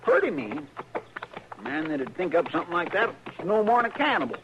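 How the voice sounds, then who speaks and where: A man's voice: fairly high pitch, very animated, a little fast. An actor playing a loyal, fretful frontier deputy man who talks in a folksy, exclaiming, polite country way, in an old radio drama. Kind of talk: 1950s radio drama acting. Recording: old radio broadcast, dull and hissy.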